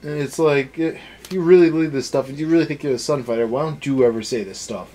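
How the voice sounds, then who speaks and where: A man's voice: medium pitch, fast talker, warm tone. A young man co-hosting a casual review show, ranting in a sarcastic, exasperated way. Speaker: anime review show co-host.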